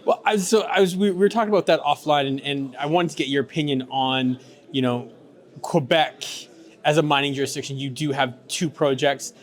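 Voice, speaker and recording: A man's voice: on the high side, brisk. Rambling male interviewer. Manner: rambling questions with many fillers and restarts. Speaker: interviewer for a mining news channel. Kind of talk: conference interview questions.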